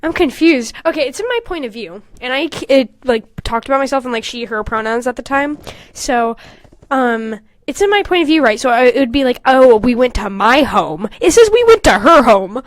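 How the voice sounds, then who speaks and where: A young woman co-hosting a casual podcast, animated and sweary, with self-mocking asides. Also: sits high, moderate pace. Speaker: podcast co-host. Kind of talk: casual podcast chat, reading old fan fiction aloud with mocking commentary.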